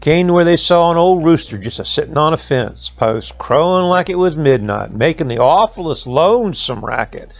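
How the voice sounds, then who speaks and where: A man's voice: fairly high pitch, animated, normal speed, vocal fry, hardly any pauses, highs cut off. A man telling a folksy tale to children in colloquial dialect. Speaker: storyteller. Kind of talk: folksy oral storytelling for children.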